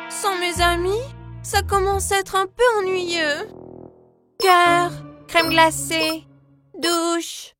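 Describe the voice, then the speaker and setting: Cartoon casting: a girlish, vain pet-dog influencer, very high voice, theatrical, music underneath. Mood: pouty, bossy. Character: vain but repentant young female influencer pet dog. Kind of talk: children's cartoon dub. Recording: music behind the voice.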